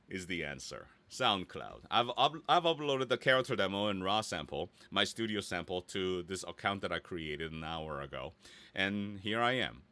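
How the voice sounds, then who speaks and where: Bright-voiced, animated man leading a casual tutorial. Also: lowish voice, no echo. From Korea or Korean heritage, based in Los Angeles. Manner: playful, chatty, tosses in silly interjections. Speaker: voice actor leading a website tutorial. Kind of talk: casual live screen-share tutorial. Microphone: close studio microphone in a dry home studio.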